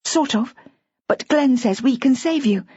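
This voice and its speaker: A woman voicing a high, urgent young wife. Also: lively intonation, measured pace, darkish tone, slightly rough, dry recording, lo-fi sound, full character voice. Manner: urgent, insistent. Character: young wife, forceful and pleading.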